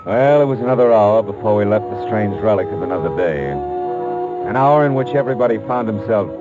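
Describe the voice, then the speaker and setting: Actor as a tough, wry American radio detective, tinny. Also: male voice, on the low side, lively intonation, fairly quick, close to an everyday voice. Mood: dramatic narration. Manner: hard-boiled, punchy storytelling. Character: wry, tough male private detective telling his case. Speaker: lead actor and narrator. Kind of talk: 1940s-50s American radio detective drama acting. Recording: muffled narrowband old radio, music behind.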